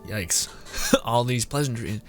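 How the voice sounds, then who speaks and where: A man gives casual live commentary on a video game in a medium-pitched voice. He is wry and informal, drifting into rambling asides. Faint music sits behind him. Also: a little slow. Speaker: game streamer.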